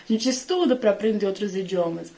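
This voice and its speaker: A woman's voice: mid-range voice, lively intonation, a little fast, warm tone. A Brazilian woman teaching the Bible informally to online followers, conversational and emphatic in her delivery.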